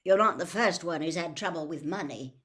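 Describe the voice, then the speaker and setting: A woman doing a gruff, suspicious campsite manager. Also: fairly low pitch, fairly quick, fairly bright tone. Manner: blunt, clipped, suspicious.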